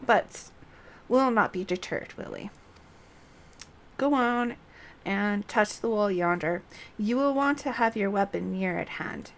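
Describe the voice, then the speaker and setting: A woman's voice: mid-range voice, slow, long pauses. A woman voicing a composed, wry sorceress guide from a fantasy game, reading the dialogue in a formal, dramatic manner. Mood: solemn warning.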